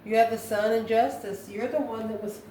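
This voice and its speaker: A woman giving an improvised spiritual tarot reading to viewers, musing in a halting way and posing questions to herself. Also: fairly high pitch, steady tone, dark tone. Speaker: tarot card reader, channel host. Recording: echoey room, distant mic, faint noise.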